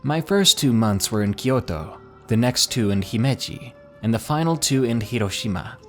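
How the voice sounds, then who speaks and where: A man reading a ghost story aloud in a measured storytelling delivery. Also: mid-range voice, animated, faint music behind. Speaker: narrator. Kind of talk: scripted horror story narration.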